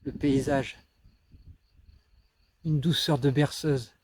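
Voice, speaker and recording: A man acting an anguished, bitter and dreamy teenage schoolboy in a declamatory theatrical monologue, swinging between outbursts and hushed musing. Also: medium pitch, steady tone, slow, dry recording. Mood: dreamy, melancholy.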